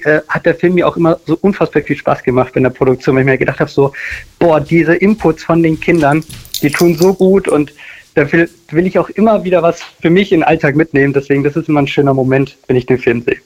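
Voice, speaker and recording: A man's voice: on the high side, quick pace, dull audio. A man from Germany, talking casually and enthusiastically as a filmmaker being interviewed. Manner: enthusiastic, effusive.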